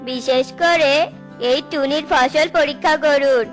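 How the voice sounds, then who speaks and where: A woman's voice: high voice, steady tone, measured pace, soft background music. A woman voicing a scheming, jealous crow in a cartoon, sneering and gloating. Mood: accusing. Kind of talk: cartoon voice acting.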